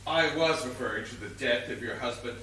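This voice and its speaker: A man's voice: medium pitch, a little fast, sharp tone, a little hiss or hum, lightly acted. An actor on stage playing a veteran police inspector, stern, formal and exasperated as he interrogates a suspect. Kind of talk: stage comedy acting. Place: stage of a theatre. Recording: echoey theatre, distant mic.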